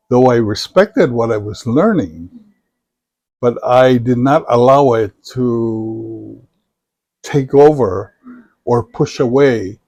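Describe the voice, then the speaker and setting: Slow, mellow older man. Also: medium pitch, dry recording. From Samoa. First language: Samoan. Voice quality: measured, thoughtful delivery with frequent fillers. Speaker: interviewee, retired professor. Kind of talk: reflective interview answers.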